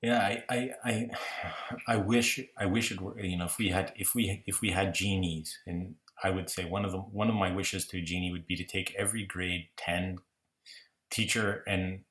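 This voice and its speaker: Deep-voiced reflective man, roomy echo. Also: fairly even intonation, normal speed, fairly bright tone. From Toronto, Canada. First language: Greek. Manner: reflective, rambling, many restarts. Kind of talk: casual conversation.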